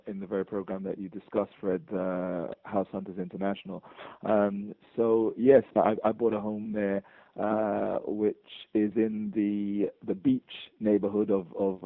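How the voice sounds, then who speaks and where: A man with a London accent, talking as an interview guest in a fairly low voice. He halts and restarts often, repeating words as he goes. The sound is dry and thin, like a phone line. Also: level tone, gravelly, no echo. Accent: London English. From England. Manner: halting, many repeated words and restarts, chuckling. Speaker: guest, bar owner. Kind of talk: casual interview.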